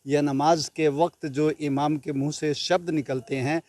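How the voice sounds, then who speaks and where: Earnest man, fairly high voice. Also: steady tone, a little slow, a little mellow. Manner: earnest, repeats phrases for emphasis. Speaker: interviewee. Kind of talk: spoken news soundbite.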